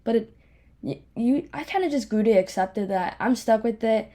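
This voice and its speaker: A woman's voice: on the high side, moderate pace, dark tone. A young woman podcast host with a speech impediment, chatting casually on her own in a candid, self-deprecating, rambling way. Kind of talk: casual solo chat, rambling. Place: home office.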